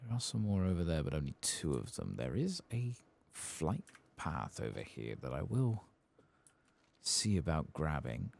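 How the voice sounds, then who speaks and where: A man with an extremely low, gravelly voice speaks softly over a video game in an ASMR style. His pitch wanders up and down as he muses to himself, pausing to think between unhurried remarks. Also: very animated, a little hiss or hum. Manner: musing to himself, hesitant, unhurried asides. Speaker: ASMR gaming streamer narrating his play. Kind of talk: soft-spoken ASMR game commentary, reading quest text aloud.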